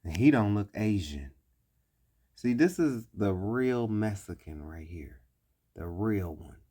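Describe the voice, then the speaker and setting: A man slowly talking through pictures on screen, repeating his points deliberately. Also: on the low side, animated, warm tone. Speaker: commentator. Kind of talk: explanatory commentary over images.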